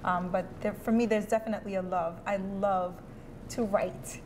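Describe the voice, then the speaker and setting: A woman's voice: mid-range voice, steady tone, normal speed, a little hiss or hum. A woman from Haiti, a poet and educator, answering interview questions earnestly with hesitant fillers.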